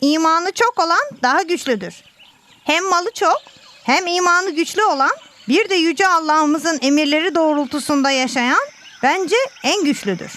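A woman's voice: extremely high pitch, expressive, normal speed, a bit bright. A woman voicing a pious, earnest talking cat in a children's educational cartoon, slightly preachy.

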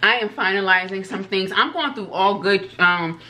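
Woman host, 30s, bright clear voice, chatty over a meal, faint hiss. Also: mid-range voice, normal speed, rough recording. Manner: chatty, talks while eating. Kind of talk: casual mukbang chat. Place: indoor studio set at a table.

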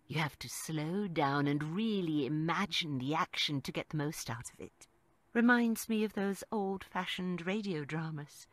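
A woman's voice: deep voice, dry recording. A woman playing a sarcastic shopkeeper who also narrates, in a video game. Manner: sarcastic, dry teasing. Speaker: comic shop clerk and narrator.

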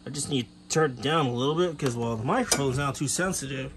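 A man giving a casual hands-on demonstration as a hobbyist, explaining in a halting way with self-corrections. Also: mid-range voice, a bit bright. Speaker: hobbyist presenter. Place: cluttered bedroom, indoors. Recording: clear background noise, room ambience.

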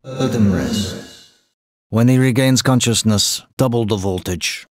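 An actor playing a cold, menacing Soviet military villain in a video game, with a Russian accent and a deliberate, commanding delivery. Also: male voice, lowish voice, natural voice. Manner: slow, deliberate, commanding, threatening.

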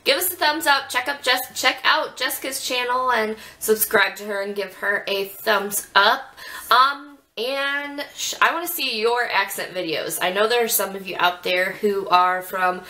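A woman's voice: on the high side, sharp tone. A woman in her twenties from Ohio with a Midwestern accent, drawing out her vowels as she chats and reads questions to a friend on webcam in a small bedroom. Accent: Midwestern American. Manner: chatty, giggly, drawn-out vowels. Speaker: vlogger host. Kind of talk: casual chat, reading tag questions. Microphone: webcam at desk.

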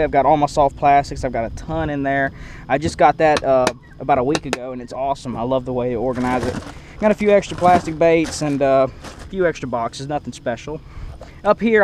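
A man's voice: fairly high pitch, slight background noise. A man hosting a casual, unscripted video tour, talking into a head-worn action camera outdoors. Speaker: host of a fishing boat tour video. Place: outdoors in a parking lot beside a lake.

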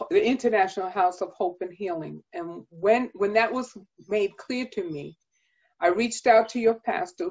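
A middle-aged woman preaching a sermon in a deliberate, emphatic cadence of repeated phrases, recorded like a video call. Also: mid-range voice, slightly rough. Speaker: guest preacher and pastor. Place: indoors, virtual background. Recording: dull, band-limited video-call audio.

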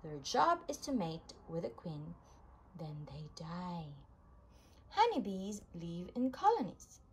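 A woman reading a children's nonfiction book aloud, phrasing it deliberately in short, separate chunks. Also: fairly low pitch, sing-song, slightly rough. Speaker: read-aloud reader of children's books.

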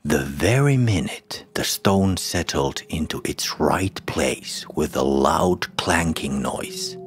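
Very deep, slow male storyteller. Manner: dramatic, deliberate storytelling. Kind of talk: scripted horror folktale narration. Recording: music behind the voice. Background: music.

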